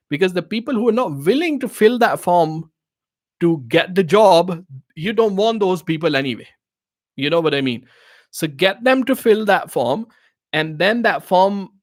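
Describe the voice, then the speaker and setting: A man whose first language is Urdu, hosting a live question-and-answer stream and giving casual business advice in loose, filler-heavy English. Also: fairly high pitch. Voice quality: non-native English phrasing. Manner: conversational, many fillers and restarts, asks "you know what I mean".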